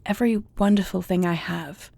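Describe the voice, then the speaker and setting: A thoughtful warrior princess played by a woman speaks with formal, eloquent care in a fantasy audio drama. Her voice is mellow and slightly low, with a creaky edge. She sounds earnest and devoted, as if confiding in her spouse. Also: no room sound. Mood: soft, wistful. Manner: formal, measured, eloquent diction. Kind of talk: intimate fantasy audio roleplay acting.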